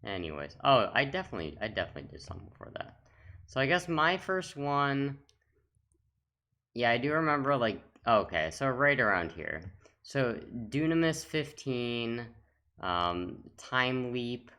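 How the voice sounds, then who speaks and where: A man giving casual, unscripted gaming commentary as a channel host, talking in a halting way as he thinks aloud. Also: mid-range voice, big pitch swings, pushed and bright.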